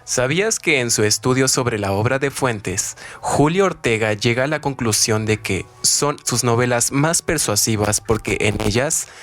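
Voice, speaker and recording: A man giving a formal, measured reading of scripted literary analysis as a radio co-presenter. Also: mid-range voice, lively intonation, a bit bright. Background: background music.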